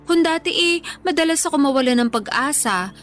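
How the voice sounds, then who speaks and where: A woman's voice: high-pitched, lively intonation, a little fast, fairly bright tone, soft background music. A woman playing an earnest, hopeful young woman in a radio drama, speaking in a candid, confiding way. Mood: hopeful, grateful. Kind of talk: Tagalog radio drama dialogue, conversational acting.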